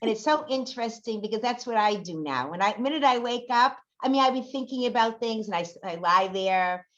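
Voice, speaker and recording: A woman's voice: a little high, lively intonation, fairly quick, fairly bright tone. A woman chatting in a hesitant, rambling way in a casual group talk on a video call. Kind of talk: casual chat. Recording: slightly dull video-call audio.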